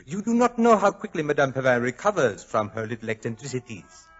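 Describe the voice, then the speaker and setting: A man's voice: medium pitch, big pitch swings, soft background music. Suave, condescending Frenchman, old-radio sound. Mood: condescending, smooth. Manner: smooth, pompous, halting foreign phrasing. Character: suave, condescending French husband, worldly violinist. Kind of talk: 1940s radio acting. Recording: dull vintage radio recording.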